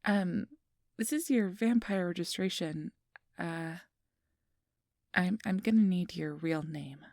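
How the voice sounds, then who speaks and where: A woman playing a friendly, easily flustered registration clerk in a soft-spoken ASMR roleplay, gentle, hesitant and reassuring. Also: lowish voice, slow pace, dry recording, clean studio sound. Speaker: interviewer.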